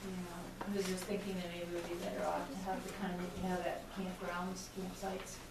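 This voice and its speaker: A woman's voice: lowish voice, completely flat delivery, brisk, vocal fry. A woman on a town board offering tentative suggestions in discussion. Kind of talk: casual meeting discussion. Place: town hall meeting room. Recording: echoey room from far off, noisy.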